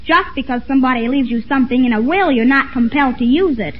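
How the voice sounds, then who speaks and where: A woman's voice: high voice, quick pace, lightly acted. A woman playing an exasperated comic questioner in old-time radio comedy. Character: exasperated, slow-talking comic straight player questioning a kid. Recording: muffled old radio recording, audience, noise.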